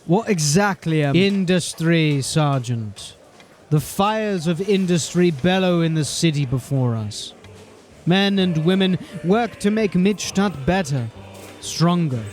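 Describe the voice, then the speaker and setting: Brief: a pompous lecturing captain, slightly high voice, faint music behind. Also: male voice, animated, slow pace, darkish tone. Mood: grandiose. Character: haughty, pompous captain, middle-aged man. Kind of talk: audio drama acting.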